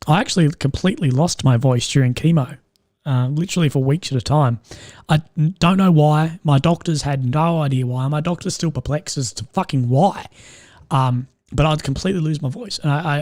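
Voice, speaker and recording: Young aussie bloke on a live stream, strained croaky voice, swears a lot, dry close sound. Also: male voice, on the high side, a little mellow, no echo. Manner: rambling, swears often, laughs at own jokes. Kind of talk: casual live stream chat.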